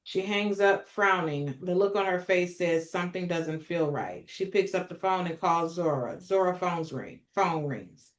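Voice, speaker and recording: A woman's voice: on the low side, unhurried, few pauses. A woman reading stage directions as narrator at a script table read, in a roomy recording. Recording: roomy, echoing sound.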